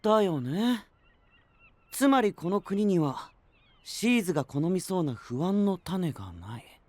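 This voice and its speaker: A man's voice: sits high, big pitch swings, measured pace, a little mellow, dry recording. An actor playing a cool, frank and perceptive young man with a casual manner in an anime audio drama. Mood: pondering.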